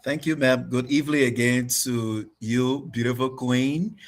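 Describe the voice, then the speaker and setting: A man who sits on the show as a regular panelist, greeting everyone with flattering praise, energetic and laughing. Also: medium pitch, a little slow. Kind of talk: effusive greetings.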